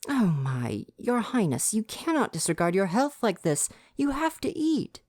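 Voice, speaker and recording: A man's voice: sits high, huge pitch swings, a little slow, a little mellow, dry recording, studio quality, full character voice. A man playing a prim, devoted royal butler with formal, fretful diction in an ASMR roleplay. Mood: fussing, concerned.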